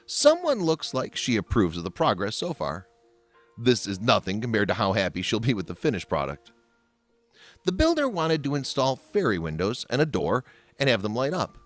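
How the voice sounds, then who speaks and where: A man delivers scripted narration as a voice-over. His pitch sits in a middle range for a man but swings very widely, giving a highly animated read. Faint music plays behind him. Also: normal speed, dry recording. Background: background music.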